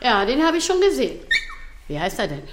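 Bossy kind hotel worker lady, kids radio drama, animated teasing voice, roomy sound. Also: a little high, a little fast, background noise. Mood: amused, kind. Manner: firm, teasing. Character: Fanny, brisk, bossy, good-hearted hotel employee. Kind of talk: German children's radio drama acting.

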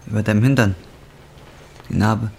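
Gravelly, brooding young man. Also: fairly low pitch, steady tone, normal speed, a little hiss or hum. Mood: guarded. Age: 20s. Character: bitter, broken 25-year-old former roofer on drugs. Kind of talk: German radio drama with inner monologue.

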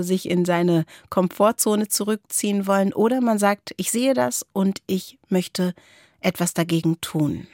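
A woman speaking in a very dry, close recording. She has a mid-pitched voice and a reflective manner, as a podcast co-host reading listener letters and discussing them. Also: a little mellow, no room sound.

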